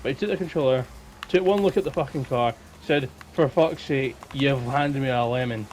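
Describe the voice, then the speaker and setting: A man's voice: mid-range voice, moderate pace, background noise. A man with a Scottish accent telling casual anecdotes as a guest on a podcast.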